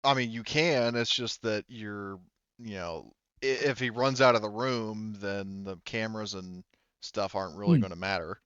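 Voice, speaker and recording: A man's voice: lowish voice, moderate pace, fairly bright tone, clear, resonant tone, dry recording, highs cut off. A man talking casually as the game master of a tabletop roleplaying session.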